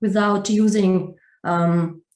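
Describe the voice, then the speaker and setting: Calm woman in an echoey room. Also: mid-range voice, slow pace. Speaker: guest, spiritual teacher. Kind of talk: interview answers.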